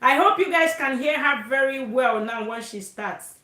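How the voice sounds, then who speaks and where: Loud, talkative woman hosting a live stream. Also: high voice, moderate pace, echoey room. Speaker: host.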